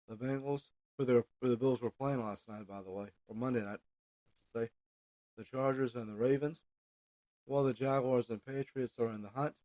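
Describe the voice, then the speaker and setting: Male American podcast host, mid-pitched, halting informal delivery, very dry recording with no room sound. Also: darkish tone, no echo, poor audio. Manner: halting delivery with frequent hesitations and self-corrections. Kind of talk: informal solo podcast monologue with news and sports recap.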